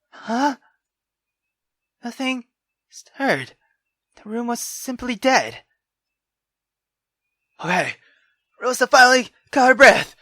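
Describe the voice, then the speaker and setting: A woman reading a visual novel aloud as a gamer, dramatic and expressive, breaking off into exclamatory, sweary asides. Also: a little high, no echo, clean studio sound. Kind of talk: dramatic reading with reaction commentary. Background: game music.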